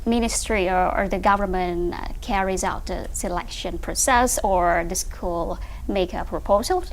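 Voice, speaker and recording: A woman's voice: lowish voice, moderate pace, low-quality recording. A woman hosting an interview, asking hesitant questions with fillers and brief backchannels. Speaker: interviewer, podcast host.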